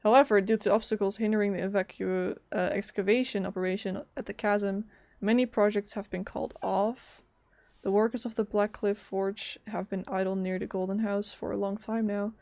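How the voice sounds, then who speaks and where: A woman's voice: mid-range voice, fairly even intonation, normal speed, darkish tone. A woman streaming a game, reading text aloud and reacting with informal, casual commentary. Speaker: gaming streamer. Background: faint game music.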